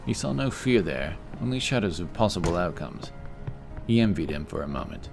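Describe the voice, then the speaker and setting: A man with a very low voice narrates a fantasy tale in scripted audiobook style. Clear music plays behind him throughout. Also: very animated, normal speed.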